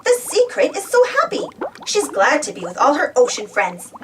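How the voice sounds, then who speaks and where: A woman reading a storybook aloud to children in an expressive, exclamatory way, putting questions to her young listeners. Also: high voice, moderate pace, noisy background. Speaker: storybook reader. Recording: distant from the mic.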